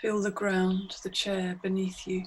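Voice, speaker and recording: A woman guiding a meditation in short, hypnotic phrases with long pauses, speaking as a narrator to a listener. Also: medium pitch, completely flat delivery. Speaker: meditation guide. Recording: clearly audible background noise, ambient soundscape. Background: ambient soundscape.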